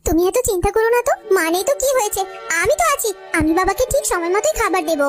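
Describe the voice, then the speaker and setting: A woman voicing a young daughter-in-law in a family cartoon, theatrical and expressive. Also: extremely high pitch, fast talker. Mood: caring. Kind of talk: cartoon folk-tale voice acting. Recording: loud music behind the voice.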